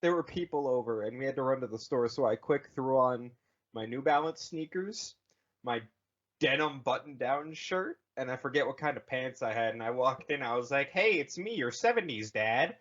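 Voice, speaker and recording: A man's voice: medium pitch, animated, normal speed, fairly bright tone, muffled recording. A young man co-hosting a podcast, telling rambling stories about himself with self-mocking humor. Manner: rambling, self-deprecating storytelling. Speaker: podcast co-host. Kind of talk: casual chat.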